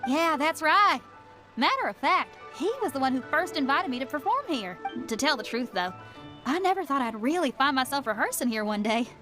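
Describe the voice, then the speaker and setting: A woman's voice: sits high, lively intonation, moderate pace, music in the background. A woman playing a laid-back, confident young female rock musician in a video game, casual in manner. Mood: confident, easygoing.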